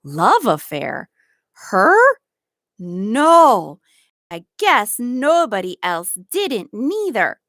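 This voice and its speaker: A woman voicing a sharp-tongued, indignant servant woman in a storybook, with a snappy, scornful rustic dialect. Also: a little high, sing-song, drawn-out words, dry recording, clean studio sound, exaggerated voice. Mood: incredulous. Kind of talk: storybook read-aloud.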